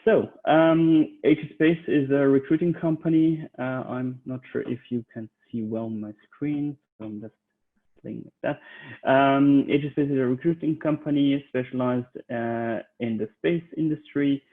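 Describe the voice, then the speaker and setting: A man's voice: medium pitch, fairly even intonation, unhurried. A man from Switzerland, a recruiter, giving an online conference presentation from his home office, hesitant with many fillers and restarts. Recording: tinny phone-like audio.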